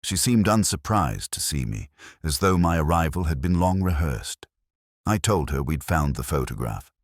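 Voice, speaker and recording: An extremely deep male voice , a man acting as a brooding police inspector telling his own mystery story. The delivery is measured and literary, with expressive swings in pitch, recorded close and dry. He sounds reflective and sceptical. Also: dry recording, heavily acted. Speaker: narrator. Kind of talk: scripted first-person mystery narration.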